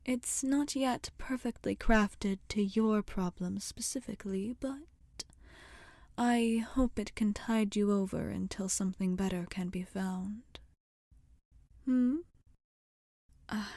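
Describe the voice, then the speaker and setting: A woman playing a gentle, caring witch healer in an ASMR roleplay, speaking softly and often whispering, with soothing, formal phrasing. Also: medium pitch, steady tone, slow, dry recording, studio quality. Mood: reassuring, kind. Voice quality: soft, breathy, often whispering.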